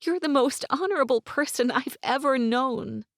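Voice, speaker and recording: A woman voicing a steadfast young wife, speaking earnestly and a little higher than her narration. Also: moderate pace, dry recording, clean studio sound. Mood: pleading.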